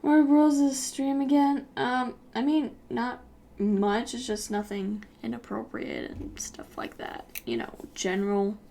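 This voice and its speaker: A woman's voice: fairly high pitch, fairly even intonation, a little slow, creaky, warm tone, slight background noise. A young American woman chatting casually and rambling while she works on an animation, with lots of giggles. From United States. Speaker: YouTuber animating while streaming. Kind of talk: casual chat while working.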